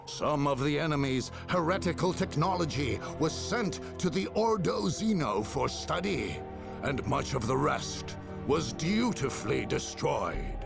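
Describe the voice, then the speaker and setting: Over swelling music, a solemn male chronicler of grim war for a video game. His delivery is gravelly and formal, with dramatic, sweeping shifts of pitch. He weighs key words with zealous, portentous emphasis. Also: lively intonation, measured pace. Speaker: narrator of game campaign endings. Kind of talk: dramatic scripted narration.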